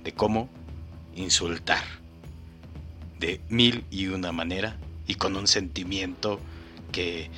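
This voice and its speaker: A man's voice: low-pitched, steady tone, takes it slowly, long pauses, sharp tone, soft background music. A man hosting a podcast, playfully and mock-solemnly commenting on clips and speaking directly to the listener. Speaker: podcast host.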